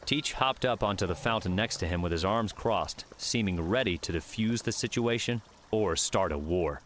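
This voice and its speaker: A man's voice: on the low side, normal speed, a bit bright, a little hiss or hum. A man reading scripted fiction as an audiobook narrator.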